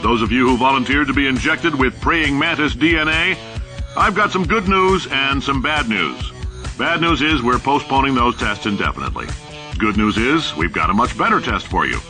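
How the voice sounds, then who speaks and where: Man acting brash, animated company boss. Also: medium pitch, normal speed, over music, natural voice. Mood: brash, breezy. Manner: blunt, breezy, deadpan showmanship. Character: brash, breezy male science-company boss addressing test subjects. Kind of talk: comic pre-recorded announcement.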